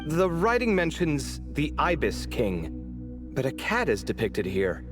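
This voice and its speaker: An inquisitive, easily exasperated young game adventurer. Her delivery is unhurried and dry, with lively swings in pitch. Her sardonic edge sits over soft background music. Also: exaggerated voice. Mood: curious. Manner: dry, sarcastic, expressive. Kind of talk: video game character dialogue.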